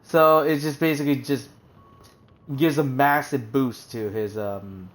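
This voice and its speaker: A man's voice: medium pitch, steady tone, a little slow. A man streaming a video game, reading menu text aloud and chatting casually with many fillers and restarts.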